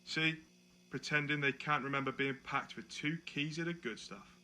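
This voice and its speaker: Give the voice a very sharp, forceful edge, sitting slightly high for a man. It is a man acting a sneering junior detective in a dystopian audio drama. He mocks and bullies, while toadying to his boss. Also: moderate pace. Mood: mocking, menacing. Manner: taunting, sarcastic, sycophantic to his boss. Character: sneering, mocking male detective constable bullying a prisoner.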